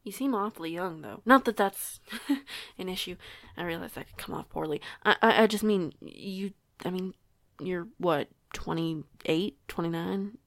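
A woman's voice: on the low side, a little slow, no room sound. A woman playing a curious, stubborn teenage werewolf girl in an audio roleplay, with a chatty, rambling, self-correcting way of talking. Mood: awkward, admiring. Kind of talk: audio roleplay acting, casual conversation.

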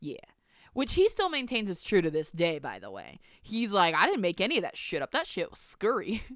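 A woman's voice: medium pitch, wildly swooping pitch, brisk, fairly bright tone, no echo, studio quality. A woman in her twenties co-hosting a podcast, telling a story in casual chat, teasing and swearing freely. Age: 20s. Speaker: podcast co-host.

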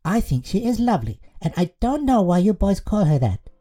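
Friendly storybook kid, played by a woman, mellow tone, lively and cheerful. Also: medium pitch, fairly quick, faint music behind. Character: cheerful, friendly young child. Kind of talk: children's storybook character voice.

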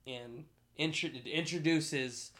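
A man's voice: on the high side, slow, fairly bright tone. Emphatic, opinionated man talking movies. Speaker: podcast host. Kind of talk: casual film discussion.

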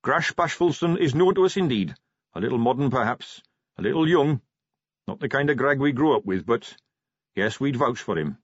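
A man's voice: mid-range voice, animated, normal speed, breathy edge, dry recording, lo-fi sound. A man voicing a stately elder male dwarf leader who speaks formally and measuredly.

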